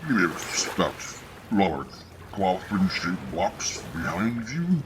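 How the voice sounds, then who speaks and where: An actor playing a gruff, sardonic, salty space station hauler with a gravelly, mocking, folksy delivery in a noir video game. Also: male voice, sits low, very animated, drawn-out words, full character voice. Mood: wry. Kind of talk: noir point-and-click game acting. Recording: clear ambient noise behind.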